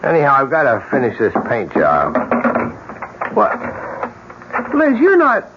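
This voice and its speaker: An actor playing a skeptical, stubborn husband and innkeeper in a radio drama, impatient and dismissive. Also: male voice, mid-range voice, huge pitch swings, unhurried. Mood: exasperated. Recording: muffled radio broadcast, faint noise.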